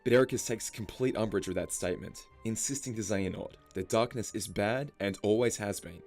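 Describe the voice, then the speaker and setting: A man reading scripted story explainer narration in a measured, storytelling delivery. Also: fairly low pitch, a little mellow. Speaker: video narrator. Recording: music behind the voice. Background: background music.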